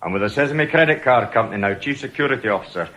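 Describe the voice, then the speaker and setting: An older actor playing a sardonic retired police detective in a radio drama, with a jovially menacing, mocking manner. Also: male voice, medium pitch, expressive, racing, sharp tone. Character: sardonic retired police detective, now company security officer.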